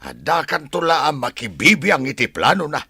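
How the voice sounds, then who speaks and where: A man playing a wry, grumbling Filipino husband and father in a radio serial, teasing and exclamatory, with a rough husky voice. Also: fairly high pitch, very animated, normal speed, sharp tone, no echo, studio quality, exaggerated voice. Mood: teasing grumble. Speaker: radio drama actor.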